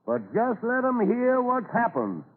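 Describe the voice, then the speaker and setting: A man's voice: extremely high pitch, wildly swooping pitch, measured pace, heavily acted. An actor with an American accent playing a worried, outspoken frontier townsman in an old radio drama, talking in a dramatic, folksy way. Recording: scratchy, narrow-band old radio recording.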